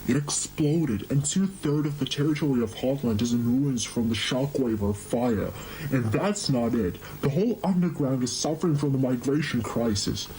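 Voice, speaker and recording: A man's voice: mid-range voice, normal speed, dark tone, a little hiss or hum, natural voice, poor audio. A man voicing an exhausted, anxious monster queen who scolds her husband in an amateur horror drama. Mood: weary, distressed.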